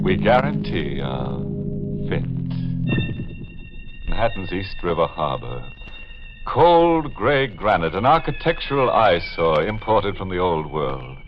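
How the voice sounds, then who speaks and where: A man with a low, swooping voice plays sardonic host on a 1940s horror radio show. He is theatrical and mock-sinister, with organ music behind him on a muffled old recording. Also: very animated, slow.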